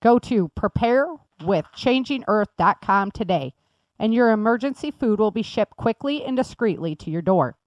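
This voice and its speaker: A woman's voice: medium pitch, expressive, normal speed, no room sound, highs cut off. Earnest woman reading a promo. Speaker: series creator reading an ad. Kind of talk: scripted promo read.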